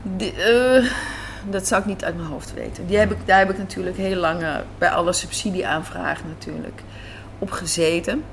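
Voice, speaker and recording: Low-voiced woman chatting in a café interview. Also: normal speed, gravelly. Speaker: interviewed writer and director. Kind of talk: informal interview conversation. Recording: faint background room noise. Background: faint café ambience.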